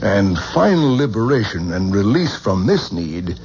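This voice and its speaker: An actor playing a patient, formal scholar of the occult who lectures in a radio drama, from an old broadcast. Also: male voice, on the low side, big pitch swings, measured pace, darkish tone. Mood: lecturing. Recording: dull old radio broadcast with faint hiss.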